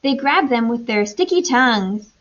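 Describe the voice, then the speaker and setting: Woman reading as keen storybook girl, high-pitched. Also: lively intonation, normal speed, a bit bright, dull audio. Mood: informative.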